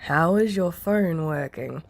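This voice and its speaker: A woman voicing a dry, practical, suspicious man who is a guardian, in a flat questioning manner. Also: low voice, slow pace, full character voice.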